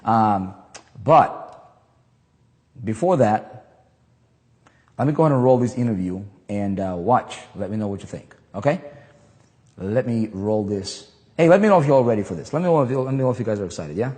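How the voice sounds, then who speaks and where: A man hosting a marketing group, giving a casual sales pitch to members, rambling with lots of fillers and restarts in a hyped, salesy way. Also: lively intonation, moderate pace, long pauses, darkish tone, in a large hall. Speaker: affiliate marketing group host.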